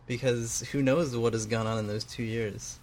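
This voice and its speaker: A man's voice: mid-range voice, steady tone, moderate pace, a little mellow, a little hiss or hum. A man co-hosting a homemade radio show, chatting casually in a joking, rambling and self-deprecating way. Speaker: co-host. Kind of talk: casual chat.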